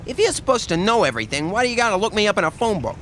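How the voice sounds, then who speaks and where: A tough mob boss acted by a man with a forceful, fairly high voice for a man. He is blunt and suspicious, full of wiseguy talk, in a comedic crime radio play with a faint rumble behind him. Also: very animated, very fast. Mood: suspicious, taunting. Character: tough, suspicious male gangster boss, street-wise and blunt. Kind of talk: comic crime radio drama acting.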